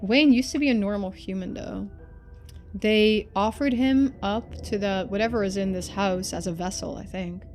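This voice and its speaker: A woman's voice: medium pitch, moderate pace, darkish tone, soft background music. A woman reading game narration expressively and adding casual commentary as a streamer.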